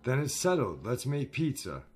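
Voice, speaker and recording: Easygoing young guy played by a man, mid pitch. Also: wildly swooping pitch, normal speed, a little mellow, faint music behind. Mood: casual. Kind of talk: amateur audio story acting.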